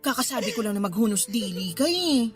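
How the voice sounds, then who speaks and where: A woman's voice: a little high, animated, a little fast, soft background music, lightly acted. An actress playing a scolding, sarcastic young woman in a radio drama, nagging her friend with emphatic delivery. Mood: mock disgust. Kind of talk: radio drama dialogue in colloquial Taglish.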